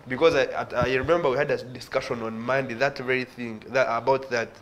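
An earnest young man with a Ugandan accent and a middle-pitched voice recounts his points on a studio panel. A lapel mic picks him up over a faint hiss. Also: fairly even intonation, fairly quick, fairly bright tone, low-quality recording. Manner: earnest, illustrates points with hand gestures. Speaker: studio guest, youth organisation director.

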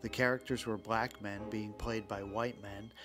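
Guy narrating a documentary, lowish voice, scripted, music behind him. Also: little pitch movement, normal speed. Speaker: host, narrator.

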